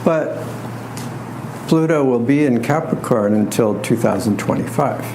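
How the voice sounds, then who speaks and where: A soft-voiced man giving an informal astrology lecture to a live group, halting and wryly humorous, with pauses and repeats. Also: mid-range voice, expressive, background noise. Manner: wry, self-deprecating humor.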